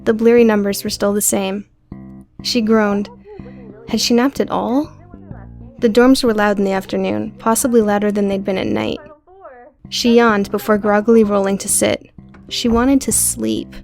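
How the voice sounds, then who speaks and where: A woman narrates a novel for an audiobook. Her mid-pitched voice has a noticeable creak, and soft guitar music plays faintly behind her. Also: moderate pace. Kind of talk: scripted fiction narration.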